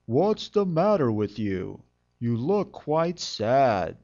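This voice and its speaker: Man playing a hearty, animated storybook donkey. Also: mid-range voice, takes it slowly, lo-fi sound. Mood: concerned. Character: friendly, encouraging male donkey, leader of animals.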